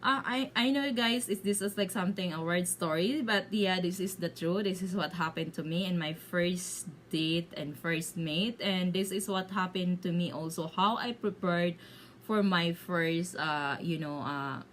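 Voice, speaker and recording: A woman from the Philippines with a Bisaya Filipino accent, telling a personal story to viewers in a casual, halting way as she searches for words in limited English. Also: lowish voice, fairly bright tone, a little hiss or hum. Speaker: video blogger.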